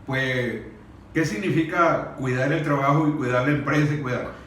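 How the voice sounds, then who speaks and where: A man's voice: mid-range voice, moderate pace, fairly bright tone. Venezuelan man speaking in a very echoey room. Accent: Venezuelan Spanish. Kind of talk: earnest speech at a public discussion. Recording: very echoey room, distant mic, faint noise.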